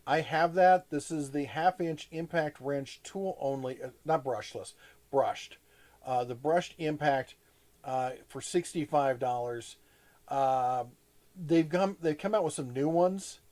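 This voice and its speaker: A man's voice: a little high, slow, a little mellow. A man hosting a casual live chat, rambling with frequent restarts and plenty of enthusiasm. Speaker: host.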